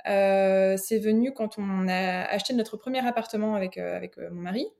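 A woman from France with a French accent, an interior designer speaking as a guest, talking haltingly with many fillers and restarts. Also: mid-range voice, steady tone, creaky, a little mellow. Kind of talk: casual interview.

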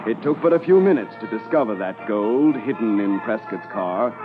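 An actor playing a commanding Mountie officer in a 1950s radio drama, speaking in a measured, authoritative way. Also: male voice, on the low side, lightly acted. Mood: measured narration. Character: commanding male Mountie detachment officer narrating a case. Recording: tinny 1950s radio transcription.

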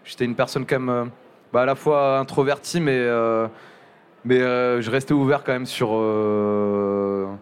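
Young male interviewee, relaxed and hesitant, resonant voice. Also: medium pitch, smooth. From Montpellier area. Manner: halting, many restarts and fillers. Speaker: journalist guest. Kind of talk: casual interview talk.

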